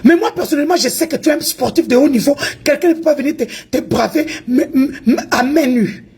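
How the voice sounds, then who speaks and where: High-voiced woman ranting defiantly. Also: a little mellow. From Congo (DRC). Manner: confrontational, taunting, mixes French and Lingala. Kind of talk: heated online rant.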